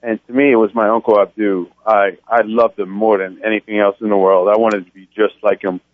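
A man's voice: on the low side, level tone, fairly quick, no echo. A man in his thirties from France, telling his story earnestly as a radio guest, with many restarts. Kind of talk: phone-in interview. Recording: tinny phone line.

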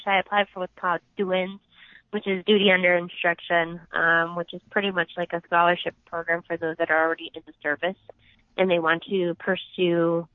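A woman's voice: lowish voice, no echo. Navy nurse lady, japanese is her first language, lots of ums, sounds like a thin phone call. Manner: conversational, many ums, recounts calmly. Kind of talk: recounting experiences in an interview. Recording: dry, tinny phone line.

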